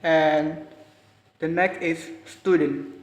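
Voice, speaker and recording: A young man with an Indonesian accent giving a halting class presentation on pronunciation, repeating each word several times. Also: a little high, little pitch movement. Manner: halting, repeats words for practice. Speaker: student presenter. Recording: roomy, reverberant with faint ambience.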